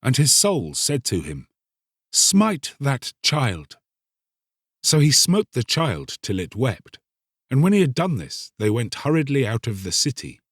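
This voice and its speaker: A man narrating a literary tale as a scripted audiobook, solemn, deliberate and theatrical. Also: medium pitch, big pitch swings, slightly rough, no room sound, studio quality.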